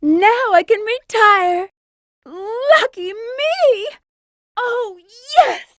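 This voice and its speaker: A woman's voice: very high-pitched, very slow, no room sound, clean studio sound, full character voice. A woman voicing a confident, sly female bat treasure hunter in a video game, with a sassy manner. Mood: delighted.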